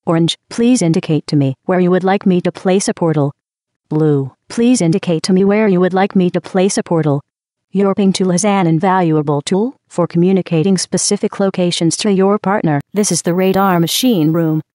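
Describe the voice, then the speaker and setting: A woman voicing a cold, sardonic female AI that runs test chambers in a video game. She speaks with deadpan, passive-aggressive mock politeness through a synthetic robotic filter. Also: fairly low pitch, moderate pace, dry recording, clean studio sound. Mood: dry, mock-polite. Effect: synthetic robotic vocal filter.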